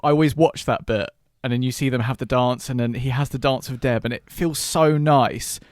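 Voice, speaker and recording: A man's voice: medium pitch, fairly even intonation, moderate pace, dry recording, studio quality. A man co-hosting a film podcast, chatting informally and with enthusiasm. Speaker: podcast co-host. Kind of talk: casual chat.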